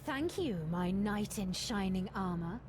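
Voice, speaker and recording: A woman voicing a scheming, haughty necromancer villain in a video game, deliberate and condescending in a theatrical way. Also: medium pitch, animated, noisy background, close to an everyday voice. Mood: mock gratitude, gloating.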